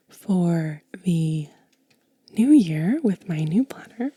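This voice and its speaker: A woman speaking gently and unhurriedly in a soft, whispery, breathy voice, close to the mic, as an ASMR host showing and telling. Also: mid-range voice, dry recording. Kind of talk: soft-spoken ASMR show and tell.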